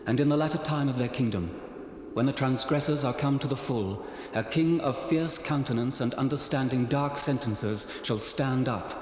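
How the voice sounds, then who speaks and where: An actor playing the angel Gabriel, a commanding heavenly messenger, proclaiming in a stately manner with heavy reverb on a 1970s scripture drama. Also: male voice, medium pitch, normal speed, darkish tone. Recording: hissy cassette tape.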